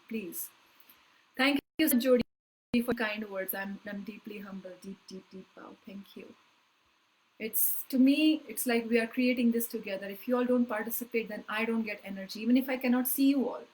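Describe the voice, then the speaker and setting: A woman leading a live online spiritual workshop, talking in an earnest, emphatic way with slow, deliberate phrasing that often trails off softly. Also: on the high side, poor audio.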